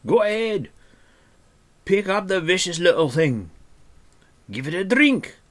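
A man reading a storybook as a gruff, angry dwarf who is snappish, sarcastic and scornful. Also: sits high, sing-song, measured pace, fairly bright tone, voice pushed up, full character voice. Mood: exasperated, sarcastic.

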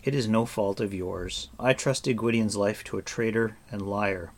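A man's voice: fairly low pitch, fairly even intonation, a little slow. A man performs a young sword-swinging hero from a fantasy storybook. He is blunt and commanding, with a bitter, accusing edge. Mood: bitter, grieving.

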